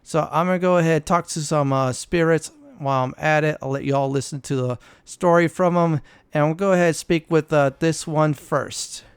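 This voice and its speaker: A man's voice: fairly high pitch, moderate pace, clean tone, no echo. Casting: male gaming host, informal and instructive, very clean resonant tone, dry close recording. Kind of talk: casual game walkthrough commentary.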